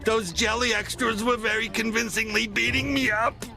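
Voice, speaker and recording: A man's voice: very high voice, little pitch movement, moderate pace, creaky. An actor playing a chatty, emphatic male cartoon character in a game cutscene. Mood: impressed, chatty. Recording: music behind the voice.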